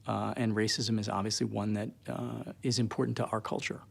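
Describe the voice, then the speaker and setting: A man giving a reflective documentary interview in a measured way, often pausing mid-sentence. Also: on the low side, little pitch movement, gravelly.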